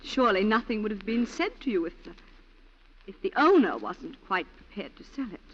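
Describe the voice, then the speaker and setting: Accused wife, weary, pleading, manipulative, expressive swings, 1940s radio drama, muffled old broadcast. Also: female voice, mid-range voice, fairly quick. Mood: weary, subdued.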